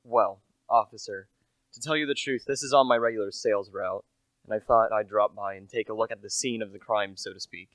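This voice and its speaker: Low male voice, humble small-time salesman acted by a young man, confiding, deferential, radio play, dry close sound. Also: steady tone, a little fast, a bit bright, dry recording, natural voice, rough recording. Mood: polite, ingratiating. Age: young adult. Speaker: narrator and lead character. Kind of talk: first-person radio play acting.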